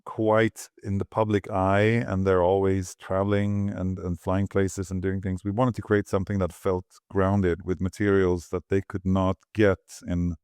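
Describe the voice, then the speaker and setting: A man's voice: low voice, flat delivery, unhurried, hardly any pauses, a little mellow, smooth, dry recording, clean studio sound. A middle-aged man from Sweden, a guest architect rambling and thinking aloud as he talks. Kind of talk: relaxed conversational interview.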